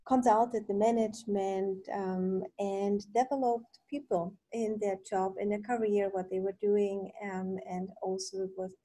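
Slow, husky woman in her thirties. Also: mid-range voice, dark tone, no echo, poor audio. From Poland. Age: 30s. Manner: hesitant, many ums, self-correcting. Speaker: podcast guest. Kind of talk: interview answers.

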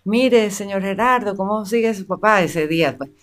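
A woman with a medium-pitched voice tells anecdotes in a casual chat. She is an animated storyteller who voices the people she quotes. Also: moderate pace.

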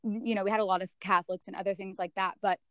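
A young woman guest speaks earnestly and reflectively on a podcast, often pausing with um. Her voice is mid-pitched, smooth and clear, and the recording is dry and close. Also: steady tone, no echo. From San Francisco area, California. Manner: earnest, reflective, many ums. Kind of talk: casual interview chat.

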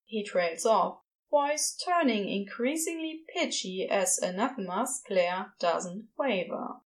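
A woman's voice: medium pitch, lively intonation, slow. A woman reading scripted fiction aloud as the narrator of an audio drama.

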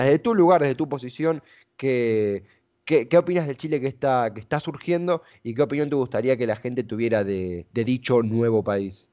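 A man's voice: medium pitch, darkish tone, highs cut off. A man with an Argentine accent conducting a conversational interview, wordy and often searching for his words. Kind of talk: conversational radio-style interview.

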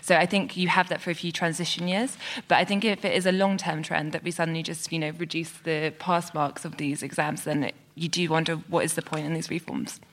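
A woman's voice: low voice, steady tone, brisk. A woman giving conversational political analysis as a panellist in a debate. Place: radio theatre.

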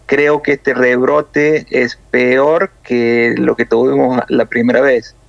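Gravelly Cordoban man on a muffled line. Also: medium pitch, little pitch movement, no room sound. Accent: Cordoban Argentine Spanish. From Córdoba, Argentina. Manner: measured, explanatory, many hesitations. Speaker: nurse interviewed by phone. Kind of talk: phone interview.

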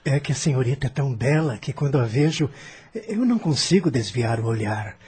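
An actor playing a young, lovestruck coach servant who sounds earnest and flustered, with faint music behind. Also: male voice, medium pitch, lively intonation, fairly quick, darkish tone, slightly rough. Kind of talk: radio drama acting.

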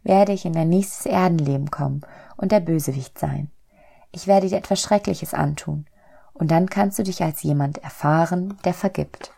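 A woman voicing a kindly, loving soul in a storybook, gentle, reassuring and earnest. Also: sits low, normal speed, gravelly, slightly rough, full character voice.

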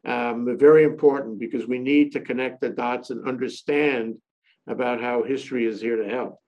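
A man's voice: mid-range voice, steady tone, normal speed, darkish tone, lo-fi sound. A man hosting a talk show, joking and rambling with many fillers as he poses a question.

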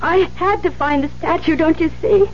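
An actress playing a frightened, earnest young former nun in a 1970s radio drama, halting and stammering as she pleads. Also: very high-pitched. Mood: desperate, pleading. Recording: muffled, noisy 1970s radio recording.

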